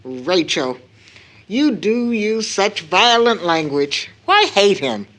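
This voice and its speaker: Expressive, proper mother. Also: mid-range voice. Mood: scolding. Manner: measured, motherly, earnest. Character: caring, proper middle-aged mother, gently admonishing her children. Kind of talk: audio drama acting of an early 1900s stage play.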